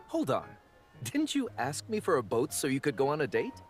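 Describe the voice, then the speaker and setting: A man's voice: a little high, wildly swooping pitch, moderate pace, faint music behind. A man voicing a friendly, courteous gallery manager in a video game, polite and affable.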